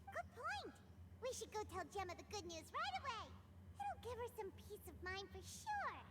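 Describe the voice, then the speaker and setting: A woman voicing a small, excitable fairy companion in an anime game, squeaky and childlike with a perky manner. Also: moderate pace, very noisy. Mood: cheerful, eager. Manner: perky, speaks of self in third person. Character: small excitable floating fairy companion girl, cheery, loyal. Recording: music playing behind the voice.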